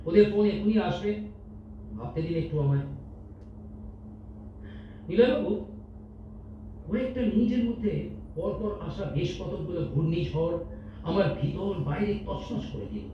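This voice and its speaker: A man acting a troubled, soft-hearted managing director in a staged audio drama, speaking emotionally with dramatic pauses. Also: sits high, a little hiss or hum, close to an everyday voice. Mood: wavering, heartfelt. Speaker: amateur stage actor in an audio drama competition. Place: multipurpose hall stage. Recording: echoey hall, distant mic, dull sound.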